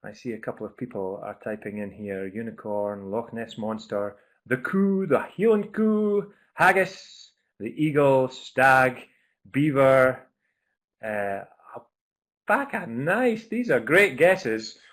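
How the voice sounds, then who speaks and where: A man's voice: mid-range voice, lively intonation, takes it slowly, fairly bright tone. A man with a Scottish accent hosting a live webinar on a video call, chatty and upbeat, with frequent ums and uhs. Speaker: webinar host.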